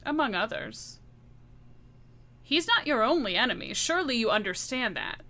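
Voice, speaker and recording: A woman playing a determined, vengeful female bomb-maker in a tabletop game, speaking with cold, deliberate threat. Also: a little high, very animated, sharp tone, full character voice. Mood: cold, insistent.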